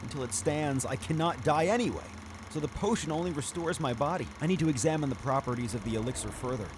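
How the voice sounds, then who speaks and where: A man's voice: fairly high pitch, animated, fast talker, noisy background, natural voice. A man playing an earnest, secretive undead alchemist in a video game, speaking with urgency. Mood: hopeful, excited. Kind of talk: video game cutscene acting.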